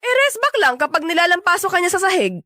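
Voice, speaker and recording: A woman's voice: very high voice, big pitch swings, quick pace, bright, forceful tone, dry recording, studio quality, full character voice. A Filipino woman acting in a radio drama as a blunt, sarcastic young best friend who scolds and teases. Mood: nagging, sassy. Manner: sassy, tactless, nagging.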